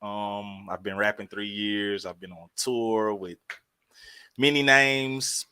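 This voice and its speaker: A man's voice: lowish voice, steady tone, takes it slowly, pushed and bright, smooth, no room sound. A man chatting casually as a dating show contestant, in short informal phrases. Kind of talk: casual chat.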